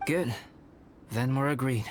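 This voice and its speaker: A man voicing a calm, scholarly young alchemist in a video game, speaking in a measured, formal and detached way. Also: mid-range voice, very noisy, close to an everyday voice. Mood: calm, earnest. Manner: measured, formal, softly analytical.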